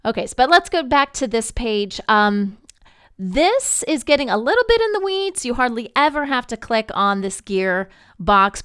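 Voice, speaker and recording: A woman giving an informal software tutorial in a conversational, easygoing, explanatory way. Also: on the high side, clean tone, no room sound, studio quality. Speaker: podcast network representative giving a software tutorial. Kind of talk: informal screen-share tutorial walkthrough.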